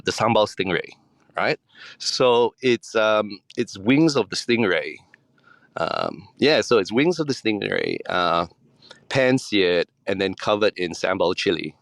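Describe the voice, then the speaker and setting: A man from Singapore, a chef guesting on a podcast, answering in a relaxed, hesitant way with many ums and restarts. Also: sits low, clean tone, no echo.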